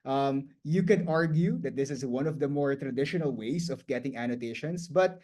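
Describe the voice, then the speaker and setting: A man who grew up speaking Tagalog gives a guest lecture at an ordinary, mid-range pitch. He explains things casually, reaching for words and leaning on "um" and "like" as he restarts his phrases. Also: moderate pace, mellow. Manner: loose and conversational, frequent "um" and "like", restarts mid-phrase.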